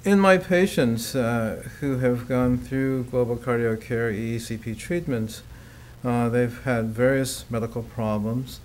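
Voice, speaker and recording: A man speaking as a physician in an unscripted testimonial, with a measured, formal, clinical way of talking. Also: mid-range voice, fairly even intonation, darkish tone, a little hiss or hum.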